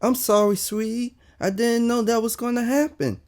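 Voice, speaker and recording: A man voicing a soothing, apologetic mother comforting her young son, gentle and placating, in acted audiobook dialogue. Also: very high voice, steady tone, moderate pace, pitched above the performer's own voice.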